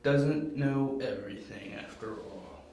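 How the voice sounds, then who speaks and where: A man's voice: medium pitch, measured pace, crowd noise, natural voice. A man playing a smug, menacing henchman, taunting and curt, in an amateur audio drama. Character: smug male henchman villain, menacing and dismissive. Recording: very echoey, noisy amateur recording.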